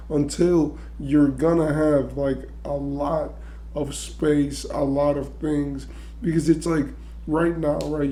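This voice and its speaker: A man with a strained voice he says he is losing, clearing his throat now and then as he talks through a basketball trade in loose, chatty commentary full of "right?" and "you know". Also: fairly high pitch, dark tone. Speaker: sports commentary YouTuber.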